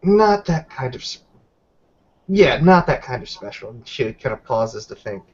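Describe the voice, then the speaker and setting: Man roleplaying a slow, deliberate werewolf investigator. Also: medium pitch, big pitch swings. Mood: guarded, sceptical. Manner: deliberate, hesitant, self-correcting. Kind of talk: improvised tabletop roleplay. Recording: dull lo-fi voice recording.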